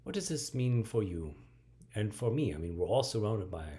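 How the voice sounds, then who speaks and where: A middle-aged man preaching a home video sermon and reading scripture aloud, in a measured way with frequent ums, in a bright room at home. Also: fairly low pitch, big pitch swings.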